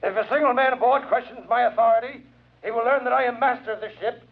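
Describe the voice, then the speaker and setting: High-pitched, furious spaceship captain. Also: male voice, fairly even intonation, fast talker, vocal fry, a little hiss or hum. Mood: furious, threatening. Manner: shouting, formal, threatening. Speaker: ship's captain. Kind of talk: old-time radio drama acting. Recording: dull old radio recording.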